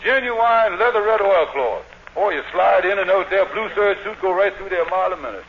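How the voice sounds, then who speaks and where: High-voiced, blustering radio con man. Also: animated, fairly quick. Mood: glib, evasive. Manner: smooth-talking, blustering salesman patter. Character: scheming fast-talking lodge con man. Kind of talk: 1940s radio comedy acting. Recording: dull, noisy old radio recording.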